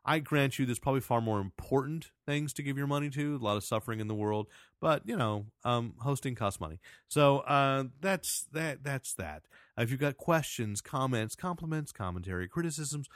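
A man with a loud, carrying voice rambles through podcast plugs and chat, correcting himself as he goes. His voice sits in a middle pitch. The sound is dry and close. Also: lively intonation, moderate pace, no room sound. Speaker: podcast host and editor.

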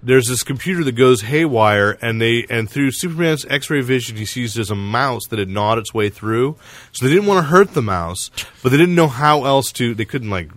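A man's voice: medium pitch, lively intonation, normal speed, fairly bright tone, dry recording. A man hosting a casual comics podcast, explaining things in a rambling way and often correcting himself. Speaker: podcast host reviewing comics. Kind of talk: casual chat about comics.

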